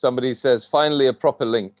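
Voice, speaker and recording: Host reading comments on a dull call. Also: male voice, medium pitch, fairly even intonation, racing, dry recording. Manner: upbeat, reads out viewer comments and announcements. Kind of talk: live online class hosting. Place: home room. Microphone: video call.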